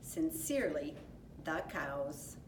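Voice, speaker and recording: A woman voicing a herd of polite but demanding farm cows in a storybook, in measured, formal phrases. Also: low voice, sing-song, voice pushed down, full character voice. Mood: polite, firm. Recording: roomy echo, distant mic, faint noise.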